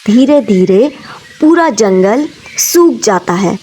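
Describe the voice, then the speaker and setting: A woman's voice: fairly high pitch, lively intonation, slow pace, warm tone, a little hiss or hum. A woman narrating a scripted children's story.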